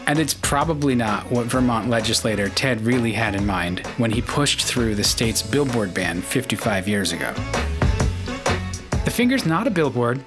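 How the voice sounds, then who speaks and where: A man's voice: mid-range voice, animated, normal speed. A man narrating a scripted podcast story in a wry storytelling manner. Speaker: podcast host. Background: loud plucked guitar music.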